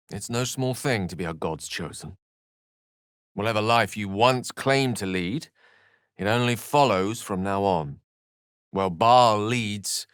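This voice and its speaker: An actor playing an eloquent, courteous and wry wizard in a fantasy video game, with a British accent and articulate, theatrical phrasing. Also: male voice, sits low, no echo, studio quality. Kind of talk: dramatic fantasy game dialogue.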